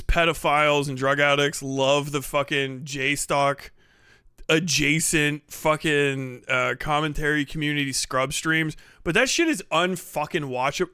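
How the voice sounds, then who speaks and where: A man's voice: a little high, bright, forceful tone, clear, resonant tone, no echo, studio quality. A man casually ranting as a livestream host, blunt, scornful and swearing heavily. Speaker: livestream host reacting to a video. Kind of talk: casual livestream reaction rant, heavy swearing.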